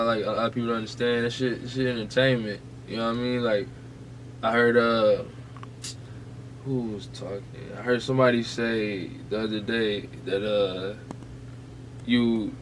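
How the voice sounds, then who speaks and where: Blunt, slangy man in noisy podcast chat. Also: mid-range voice. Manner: slangy, blunt, pushing back. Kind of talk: casual chat and debate.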